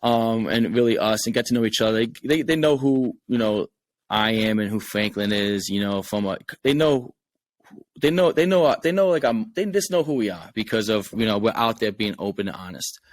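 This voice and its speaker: A man with a Rhode Island accent speaks in a fairly low voice, earnest and open. He talks loosely, circling back and repeating words as he works out his point in a relaxed podcast chat. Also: brisk, a little hiss or hum. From Rhode Island, USA. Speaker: game creator guest. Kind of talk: casual podcast conversation.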